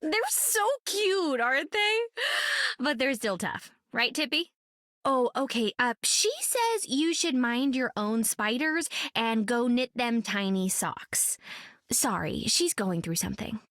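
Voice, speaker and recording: A woman voicing a bubbly young heroine with squirrel friends, rambling excitably in a video game. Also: fairly high pitch, slow pace, no room sound, clean studio sound.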